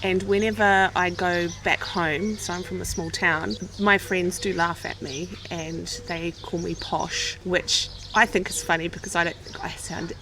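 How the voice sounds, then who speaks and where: Kiwi woman in her forties, gravelly. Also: fairly low pitch, steady tone, unhurried, hardly any pauses, a crowd in the background. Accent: New Zealand. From New Zealand. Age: 40s. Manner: chatty storyteller, laughs often. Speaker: co-host. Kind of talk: casual podcast chat. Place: outdoor spa garden. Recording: outdoors with loud birdsong.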